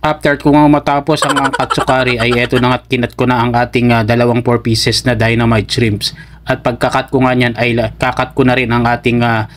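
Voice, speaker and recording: Flat-toned Filipino man narrating a cooking vlog. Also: medium pitch, a little fast, slight background noise. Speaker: cook and vlogger. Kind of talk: casual voice-over narration of cooking steps.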